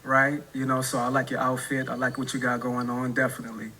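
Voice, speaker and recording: A man's voice: mid-range voice, monotone, a little fast, crowd noise. A man in his forties with a New Orleans accent, a dating show contestant answering questions in a laid-back way and often tagging on "right?". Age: 40s.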